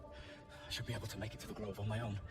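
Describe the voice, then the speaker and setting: A man playing a frightened captive in a video game, halting, stammering and pleading. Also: on the low side, little pitch movement, close to an everyday voice. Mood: shaken. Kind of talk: video game character acting. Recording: distant, under music.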